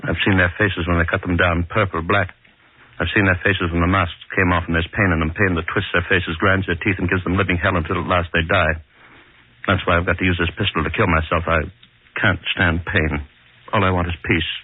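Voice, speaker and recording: A haunted, low, husky hangman, 1940s radio. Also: little pitch movement, a little fast. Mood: despairing, brooding. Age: middle-aged. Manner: brooding, repetitive, melodramatic. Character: tormented middle-aged hangman, bitter, haunted, guilt-ridden. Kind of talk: 1940s radio horror acting, inner monologue. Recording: muffled vintage 1940s radio recording.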